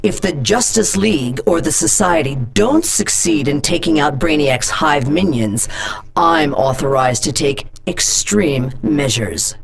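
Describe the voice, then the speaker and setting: A woman's voice: low-pitched, animated, measured pace, creaky, exaggerated voice. An actress voicing a stern female government agency director in a video game, blunt and intimidating, giving orders over radio-style briefings. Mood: commanding. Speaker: game character. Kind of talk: game voice lines.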